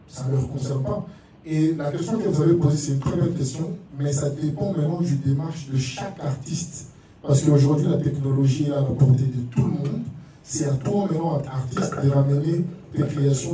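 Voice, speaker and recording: A man's voice: a little high, dark tone. A man with a Congolese French accent speaking informally as an artist in a panel discussion, often repeating words. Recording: very echoey room, distant mic, faint noise.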